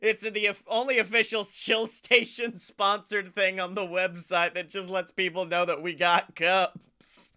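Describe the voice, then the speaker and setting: Bright-voiced man bantering on a podcast. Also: high voice, a little slow, clean tone. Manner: profane, jokey, animated plugging. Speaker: comedy podcast co-host. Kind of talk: casual comedic podcast banter.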